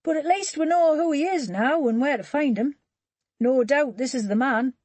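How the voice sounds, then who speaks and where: Woman reading a brisk practical detective guy, matter-of-fact, a bit higher than her narration. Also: a little mellow, no echo, close to an everyday voice.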